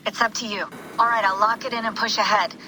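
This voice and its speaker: An actress playing a focused young tech operator in a science fiction game, talking in a brisk, matter-of-fact way. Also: female voice, mid-range voice, steady tone, sharp tone, a little hiss or hum, lightly acted, poor audio. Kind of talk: naturalistic video game cutscene acting.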